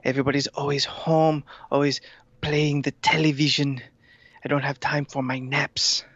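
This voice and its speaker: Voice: mid-range voice, little pitch movement, normal speed, a bit bright, no echo, close to an everyday voice. Chonky villain tomcat, acted by a performer, formal and grandiose, comedy podcast, muffled dry sound. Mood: weary, aggrieved. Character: fiendish, fat male house cat plotting world domination. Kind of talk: improvised comedy interview in character.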